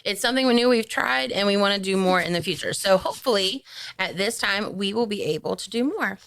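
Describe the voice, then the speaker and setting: A woman's voice: medium pitch, expressive, fairly quick, creaky, a bit bright. A woman teacher hosting a casual podcast interview, speaking informally and encouragingly as she prompts with questions.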